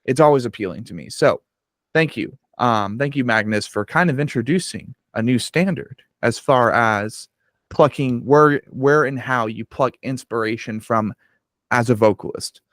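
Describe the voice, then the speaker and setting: A man's voice: medium pitch, moderate pace, a bit bright, no echo, clean studio sound. A man hosting a podcast, talking animatedly and swearing freely as he rambles through his points. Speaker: podcast host. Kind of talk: casual interview chat.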